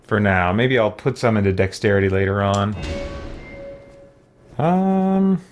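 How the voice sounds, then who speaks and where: A man's voice: on the low side, lively intonation, unhurried, faint music behind. A man hosting a let's play, thinking aloud casually and playfully as he works through a game.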